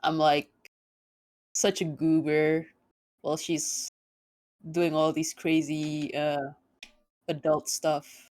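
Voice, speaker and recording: A woman's voice: deep voice, flat delivery, takes it slowly, long pauses, a little mellow, poor audio. A woman streaming casually, teasing her guest and chatting with her viewers in a laid-back way.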